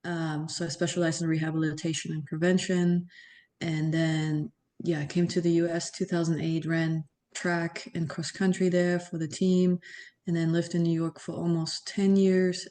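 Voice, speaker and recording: A woman, a guest explaining her background in a relaxed, steady way with frequent ums and restarts. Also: fairly low pitch. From Germany. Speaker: podcast guest. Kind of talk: casual interview talk.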